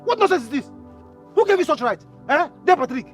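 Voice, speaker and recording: An actor playing a hot-headed, defiant younger brother in a Nigerian film drama, with a Nigerian accent and insistent, stammering repetitions. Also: male voice, extremely high pitch, very animated, a little fast, a bit bright, soft background music, exaggerated voice. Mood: angry, defiant. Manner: stammering, repeats words insistently.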